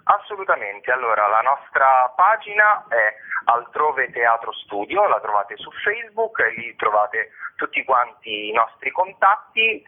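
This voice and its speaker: A man giving discursive, explanatory answers as an interviewed theatre curator and actor. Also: mid-range voice. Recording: band-limited phone line or radio sound.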